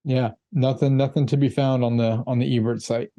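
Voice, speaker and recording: Mellow-voiced man co-hosting a movie podcast. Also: mid-range voice, brisk, dry recording, lo-fi sound. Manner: relaxed, reads out ratings and box office figures. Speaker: podcast co-host. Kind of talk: casual movie review chat.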